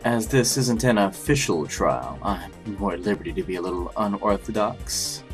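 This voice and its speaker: A man voicing a formal, low-voiced prosecutor. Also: lively intonation, normal speed, faint music behind. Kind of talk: amateur game fandub acting.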